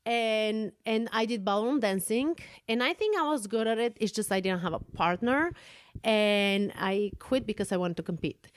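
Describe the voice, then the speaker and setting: A woman's voice: medium pitch, no room sound, studio quality. A woman in her forties with a Bulgarian accent, co-hosting a podcast and telling stories in a chatty way, often laughing and searching for words. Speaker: podcast co-host. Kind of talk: casual storytelling.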